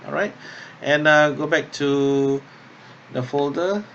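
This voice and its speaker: A man instructor talking through an unscripted tutorial step by step, thinking aloud haltingly and often checking in with okay or yeah. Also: on the high side, a bit bright, dull audio, a little hiss or hum. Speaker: drama workshop instructor.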